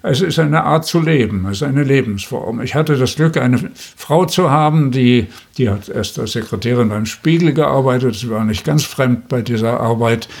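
An older man in his seventies or beyond speaks with a husky, mid-pitched voice. He talks reflectively, as a guest in an interview. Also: normal speed, few pauses, darkish tone. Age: 70s or older. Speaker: veteran radio feature maker, interview guest.

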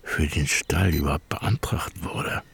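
An actor playing a stubborn, mocking older village man in a radio play, with a husky voice and a Berlin-Brandenburg accent, colloquial and blustering. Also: very deep voice, fairly even intonation, measured pace, fairly bright tone, exaggerated voice. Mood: goading. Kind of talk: naturalistic German radio play acting.

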